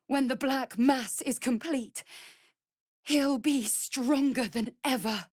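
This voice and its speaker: A woman's voice: high-pitched, takes it slowly, a little mellow, no room sound, clean studio sound. A woman playing an anxious, devoted vampire spawn in a fantasy video game, speaking formally and earnestly. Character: anxious, devoted female vampire spawn serving her master.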